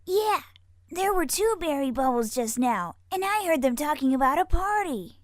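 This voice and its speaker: A woman plays a chirpy young cartoon filly, high and very lively. She is eager and singsong, with a dry, close sound. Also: normal speed, dry recording. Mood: excited. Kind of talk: cartoon-style audio drama.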